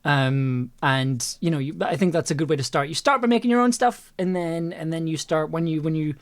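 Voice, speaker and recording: A man's voice: fairly high pitch, a little fast, no echo. A man hosting a casual livestream group chat, often repeating and rephrasing himself as he talks. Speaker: host.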